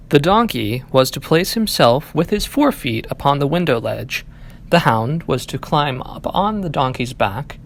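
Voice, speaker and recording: A man reading a fairy tale aloud as an animated audiobook narration. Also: fairly high pitch. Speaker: library staff reading aloud.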